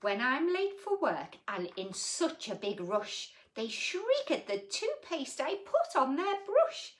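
Woman reading as a weary storybook mum, expressive. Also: high-pitched, pitched above the performer's own voice, exaggerated voice. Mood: exasperated. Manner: measured, expressive, rhythmic. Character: tired, put-upon mother of unruly monster children. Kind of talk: rhyming picture-book narration.

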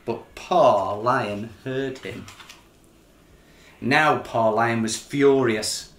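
A middle-aged man reading a children's picture book in an expressive, measured, dramatic storytelling style. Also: medium pitch. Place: small living room, indoors. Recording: roomy living-room sound.

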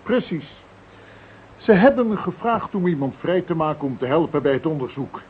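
An actor playing a composed, businesslike police commissioner in a radio play, speaking with measured authority. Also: male voice, on the high side, animated, lightly acted. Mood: serious, businesslike. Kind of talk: Dutch radio play acting. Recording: thin narrow-band radio recording with hiss.

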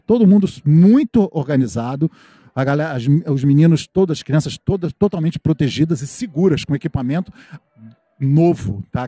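Man, middle-aged, Brazilian accent, live stream host, chatty, upbeat, slightly high voice, outdoors at night, handheld mic. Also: darkish tone, dry recording. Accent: Brazilian Portuguese. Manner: chatty, upbeat, reads viewer comments aloud, frequent "tá?" and "né?". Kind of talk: live walking tour commentary. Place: outdoor Christmas fair park at night. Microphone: handheld microphone.

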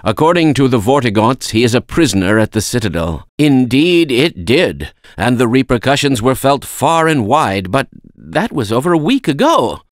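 A man's voice: medium pitch, sing-song, moderate pace, dry recording, natural voice. Guy doing a fussy absent-minded old scientist for a video game, voice swings all over, close dry sound. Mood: fussy, genial. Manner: fussy, precise, old-fashioned exclamations. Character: kindly, absent-minded older male scientist, excitable and fussy. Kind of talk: video game character acting.

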